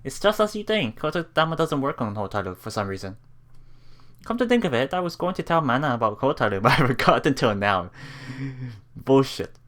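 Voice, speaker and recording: A woman's voice: extremely low pitch, sing-song, fairly quick. A woman hosting a let's play, reading visual novel text aloud and reacting with giggly asides. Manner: giggly, reactive asides between read lines.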